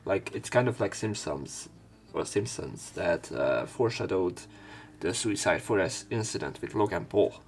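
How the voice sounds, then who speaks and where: Low-voiced man narrating a visual novel. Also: vocal fry. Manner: irreverent, swearing offhand. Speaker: let's play commentator and narrator. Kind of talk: reading a visual novel aloud with casual commentary. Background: game music.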